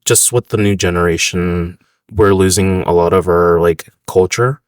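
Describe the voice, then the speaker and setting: A man's voice: low-pitched, fairly even intonation, normal speed, no room sound, studio quality. A man in his thirties from the United States, a restaurant owner chatting casually in an interview, rambling with fillers.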